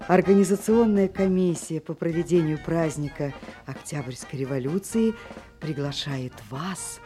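An actress playing a caring, weary, hard-working older sister in a radio drama. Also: female voice, sits low. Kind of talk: Soviet radio play acting. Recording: guitar music clearly behind.

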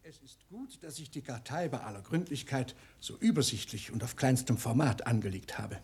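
Stubborn old pedant, pompous, lively pitch, husky voice, radio drama. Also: male voice, normal speed. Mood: self-satisfied. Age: older. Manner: pompous, precise. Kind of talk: German radio play acting.

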